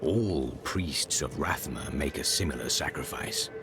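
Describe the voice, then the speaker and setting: A man voicing a solemn necromancer priest in a video game, speaking in a grave, formal and deliberate manner. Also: rumbling low, noisy background. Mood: grave, stern. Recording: loud music under the voice.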